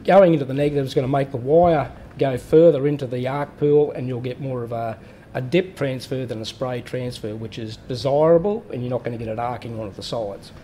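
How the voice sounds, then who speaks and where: A man's voice: medium pitch, brisk, warm tone, slight background noise. A man in a workshop explaining a welding machine step by step, plain-spoken with fillers and restarts. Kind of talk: hands-on technical explanation.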